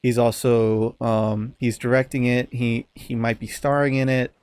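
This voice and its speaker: A man talks casually as a podcast co-host in a close, dry recording with very little room sound. His delivery is halting, as he often searches for the right word, and his pitch sits in an ordinary middle range. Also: flat delivery, darkish tone, clear, resonant tone, no room sound. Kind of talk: casual chat.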